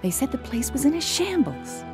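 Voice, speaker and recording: An actress playing an earnest, gracious middle-aged American missionary singer with a husky voice in a family audio drama. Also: female voice, sits high, big pitch swings, moderate pace, music in the background.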